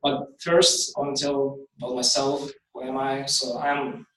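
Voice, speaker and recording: A man in his thirties from Ukraine, with a Ukrainian accent, giving a startup pitch in halting English full of ums and likes. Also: little pitch movement, a bit bright. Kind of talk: live pitch presentation. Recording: very echoey hall, distant from the mic.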